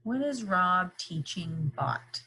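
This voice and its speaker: Bright-voiced woman teaching, slightly husky. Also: lowish voice, big pitch swings, takes it slowly. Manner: simple explanations with repeated questions and check-ins. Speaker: teacher reading a picture book aloud. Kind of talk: interactive read-aloud lesson for young children.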